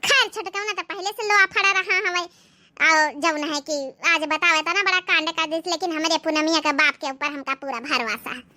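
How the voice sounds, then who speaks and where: Very shrill, dramatic cartoon housewife. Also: female voice, a little fast, bright, forceful tone, exaggerated voice. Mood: trusting, uneasy. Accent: Awadhi. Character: dramatic Awadhi village housewife, gossipy and suspicious. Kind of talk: Awadhi cartoon comedy dialogue.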